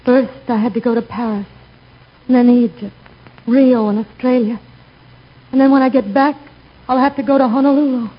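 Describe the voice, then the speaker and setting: A woman's voice: on the high side, steady tone, normal speed, long pauses, lightly acted. An actress playing a dramatic, world-weary woman traveler in a 1940s radio comedy. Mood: plaintive. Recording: muffled 1940s radio recording, crowd noise.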